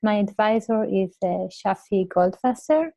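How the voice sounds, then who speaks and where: A woman, a PhD student, introducing her research hesitantly, with many "uh" pauses. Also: mid-range voice, creaky, warm tone, dry recording, faint music behind. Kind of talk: interview answers. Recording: dull, dry sound.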